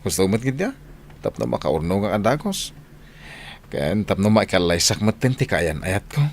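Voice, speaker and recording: Casting: earnest, patient young Ilocano man in radio drama, lowish swinging pitch, slight background noise. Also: big pitch swings, normal speed. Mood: casual. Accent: Northern Philippine Ilocano. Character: hardworking, patient young man Saldy, away from his sweetheart.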